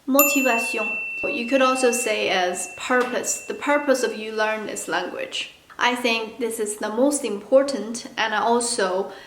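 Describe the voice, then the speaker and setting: A woman's voice: fairly high pitch, a little slow, a bit bright, slight background noise. A woman from China with a Chinese accent, native speaker of Mandarin, chatting candidly to camera as a language-learning vlogger. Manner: chatty and candid, acts out playful asides. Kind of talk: casual talk to camera. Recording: echoey room.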